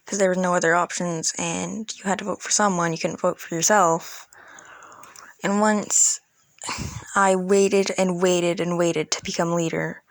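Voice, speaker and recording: A woman's voice: on the low side, fairly even intonation, measured pace, dry recording. A woman telling a casual, rambling storytime in informal, youthful slang. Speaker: storytelling video creator. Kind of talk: casual storytime about an online roleplay game.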